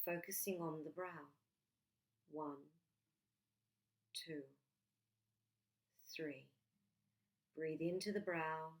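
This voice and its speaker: Lady doing guided meditation, kinda low voice, super slow n soothing, lots of pauses. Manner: soothing, gentle, unhurried with long pauses.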